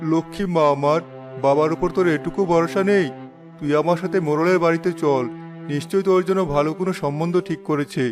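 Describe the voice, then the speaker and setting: A man's voice: a little high, steady tone, quick pace, close to an everyday voice. A man playing a poor, humble and protective village father in a cartoon, speaking earnestly. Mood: reassuring. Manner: earnest, pleading. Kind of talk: cartoon voice acting. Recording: loud background music.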